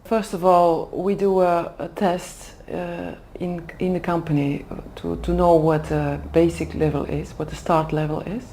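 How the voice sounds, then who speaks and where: Low mellow woman. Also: level tone, a little hiss or hum. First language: Dutch. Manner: careful, hesitant, pauses to find words. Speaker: executive development manager. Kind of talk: interview answers in a second language. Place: language school in Oxford.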